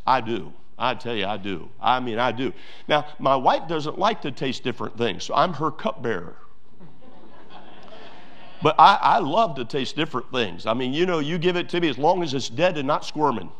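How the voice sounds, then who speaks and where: A man with a somewhat high voice delivers a sermon to his congregation. He preaches with emphasis, repeating key phrases and stopping for fillers as he goes. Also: fairly bright tone. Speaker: pastor.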